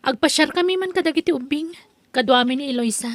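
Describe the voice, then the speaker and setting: A woman's voice: sits high, quick pace, no echo, natural voice. A woman with an Ilocano accent acting as a guarded, aloof wife and mother in an audio drama. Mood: dismissive.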